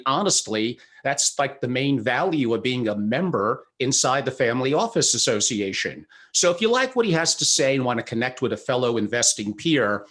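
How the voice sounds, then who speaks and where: A man's voice: mid-range voice, a bit bright. A man hosting an investing podcast on a video call, chatty and giving long disclaimers before his interview. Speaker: podcast host. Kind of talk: interview introduction.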